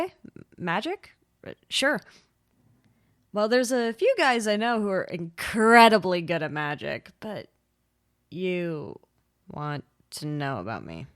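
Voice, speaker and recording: A woman voicing a reluctant, gently teasing storyteller in a character voice piece, with a hesitant, soft-hearted delivery. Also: medium pitch. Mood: flustered, reluctant. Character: reluctant, gently teasing storyteller, self-deprecating about magic. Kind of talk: character voice pack, conversational then fairy-tale narration. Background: background music.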